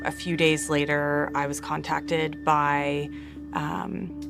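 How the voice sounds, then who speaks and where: A woman's voice: low-pitched, flat delivery, slow pace, vocal fry. A woman, a journalist explaining events in a documentary interview. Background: loud music bed.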